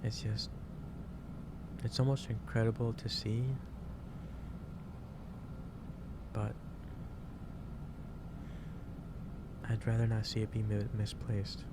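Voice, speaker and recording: A man playing a gentle, concerned office boss in an ASMR roleplay, speaking haltingly and repeating his phrases. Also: on the low side, completely flat delivery, heavy background noise, lightly acted. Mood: earnest concern. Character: gentle, concerned male office boss lecturing an overworked employee. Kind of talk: improvised ASMR roleplay addressed to the listener.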